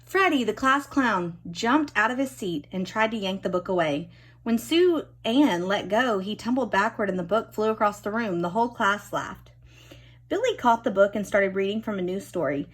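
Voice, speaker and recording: A woman narrates a children's picture book in a very lively, swooping voice. Her tone is a little husky, and she leans dramatically into key words, stretching them out for young listeners. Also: mid-range voice. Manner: animated, dramatic storytelling with drawn-out key words. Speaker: storybook reader. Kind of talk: expressive children's storybook narration.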